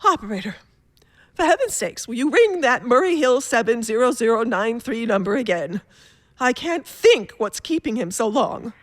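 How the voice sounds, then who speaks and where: A woman's voice: a little high, big pitch swings, dry recording. A woman playing an anxious, demanding bedridden wife in a suspense radio play, fretful, imperious and theatrical in manner. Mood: impatient, agitated. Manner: fretful, imperious, theatrical, halting then insistent.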